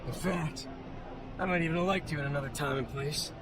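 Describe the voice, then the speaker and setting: A man's voice: fairly high pitch, a little fast, a crowd in the background, natural voice. A man playing a bitter, cornered soldier turned informant in a video game, defiant and colloquial. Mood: bitter, resigned. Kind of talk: video game cutscene acting. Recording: clear background noise.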